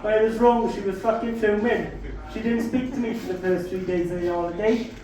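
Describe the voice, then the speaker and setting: A man's voice: sits high, fairly even intonation, brisk, crowd noise. A man with a South Welsh accent doing stand-up, telling brash, sweary, self-mocking stories with punchy raised punchlines. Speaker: stand-up comedian. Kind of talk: stand-up comedy storytelling. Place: comedy club stage. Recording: echoey club recorded from a distance.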